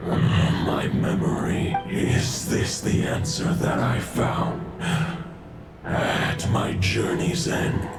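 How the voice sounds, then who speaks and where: An actor voicing an ancient, solemn wolf spirit in a video game, in breathy, growling, weighty short phrases. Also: male voice, low-pitched, level tone, very slow. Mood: solemn, resigned. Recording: echoing voice under loud music.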